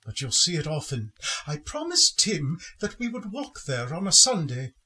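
A man playing a gentle, grieving Victorian father in a radio play, speaking tenderly and earnestly in a husky voice. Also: a little high, big pitch swings, moderate pace, heavily acted. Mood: gentle, apologetic. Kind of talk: sentimental radio play acting.

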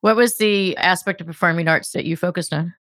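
A woman hosting a podcast, reading a scripted introduction in a measured, announcer-like way and interviewing a guest. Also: lowish voice, fairly bright tone, dry recording. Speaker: podcast host.

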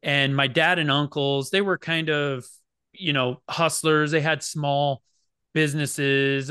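A man from Oregon talks as a podcast guest, with a bright, smooth voice that carries well. He tells stories about his background in an easygoing way, with some fillers. The sound is dry and close. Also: mid-range voice, no echo. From Oregon, United States. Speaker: guest, business founder. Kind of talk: casual interview chat.

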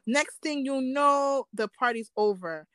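A young woman tells a story in a loose, slang-filled way and acts out the lines people said. Her voice sits fairly high. The recording is dry and close, with no room sound. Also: unhurried, a bit bright, dry recording. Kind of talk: casual storytelling chat.

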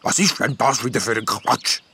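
A man's voice: moderate pace, sharp tone. A man voicing a grumpy bearded forest gnome who snaps and scoffs, in a children's audio story. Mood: scornful. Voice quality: deep gruff voice. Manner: snapping, scornful.

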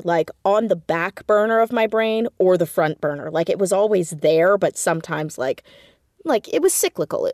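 A woman chatting casually as an interview guest, telling things in an animated, easygoing conversational way. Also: mid-range voice, dry recording, studio quality. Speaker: podcast guest, audio fiction writer and actor.

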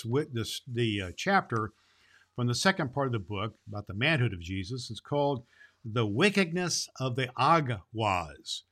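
A man reads a children's tale aloud as Santa Claus. His voice is bright and forceful at a middling pitch, and he leans into the words with dramatic emphasis. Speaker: children's story reader presenting as Santa Claus. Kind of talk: storybook read-aloud for children.

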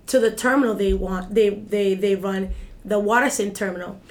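A woman's voice: medium pitch, normal speed. A woman, talking as a guest in a casual interview, emphatic and repeating herself, checking in with "right?". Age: 30s. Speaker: guest, executive director of an activist group.

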